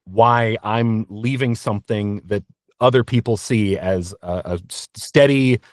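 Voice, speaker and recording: A man answering interview questions candidly as a voice actor, in an earnest, reflective and halting conversational manner. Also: fairly low pitch, no room sound, studio quality. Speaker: interview guest, voice actor and narrator.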